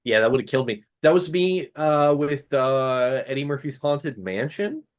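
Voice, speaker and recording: A man's voice: medium pitch, lively intonation, measured pace. A young man co-hosting a casual movie podcast, joking, swearing and losing his train of thought. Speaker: podcast co-host.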